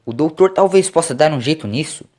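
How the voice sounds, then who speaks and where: A man's voice: fairly high pitch, lively intonation, brisk, a little mellow, pitched above the performer's own voice, natural voice. A man voicing a loyal, perplexed young Scotsman who protests in an agitated, exclaiming way, in an audio drama. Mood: anxious, questioning.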